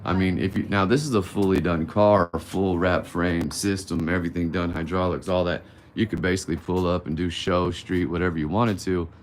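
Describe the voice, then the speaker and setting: A man's voice: deep voice, flat delivery, brisk, hardly any pauses, slight background noise. A man around fifty hosting a casual live-stream Q&A, candid and blunt, rambling and swearing casually. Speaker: livestream host answering viewer questions.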